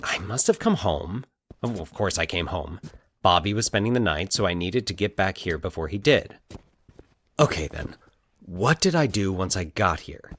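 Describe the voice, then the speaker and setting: A man's voice: sits low, lively intonation, normal speed, fairly bright tone. A man voicing a baffled, brooding young man who muses to himself in a fiction podcast story. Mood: intent, recalling. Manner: puzzled, musing to himself. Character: Mike, baffled, brooding young man investigating eerie coincidences. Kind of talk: fiction podcast dialogue within narration.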